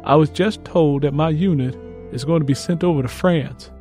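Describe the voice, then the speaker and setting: A man's voice: fairly high pitch, moderate pace, mellow, soft background music, lightly acted. A man playing an earnest young Mississippi field hand turned soldier, reading out letters home with plain rural phrasing in an audiobook. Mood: earnest, reflective. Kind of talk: first-person letter read in audiobook.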